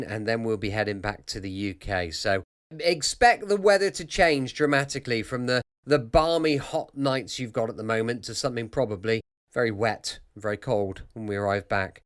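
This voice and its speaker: A British man hosting a late-night podcast with informal, reassuring, chatty patter. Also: medium pitch. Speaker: podcast host.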